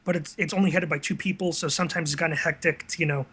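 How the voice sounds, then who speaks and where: A man's voice: on the high side, fairly even intonation, fast talker, a bit bright, breathy edge. A young man chatting casually as a guest in an interview. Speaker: guest, video creator. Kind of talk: casual chat.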